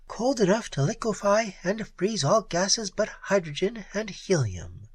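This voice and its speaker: A man reading aloud and voicing a cool, mocking female space pirate queen in a softer, higher voice. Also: sing-song, unhurried, breathy edge, exaggerated voice. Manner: sweet, taunting, softly menacing.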